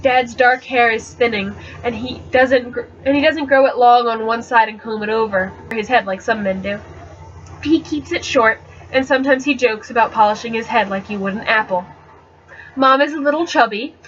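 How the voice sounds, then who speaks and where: High-pitched woman reading a story aloud. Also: moderate pace. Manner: expressive and theatrical, stumbles over words now and then. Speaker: amateur book reader. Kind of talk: dramatic reading of a novel, first-person teen narration. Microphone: webcam microphone. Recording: dull, slightly noisy webcam audio.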